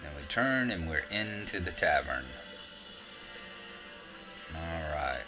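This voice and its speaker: A man's voice: extremely low pitch, big pitch swings, slow, music in the background, rough recording. A man with a Southern US accent giving casual, rambling gameplay commentary with self-mocking asides. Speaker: game walkthrough narrator.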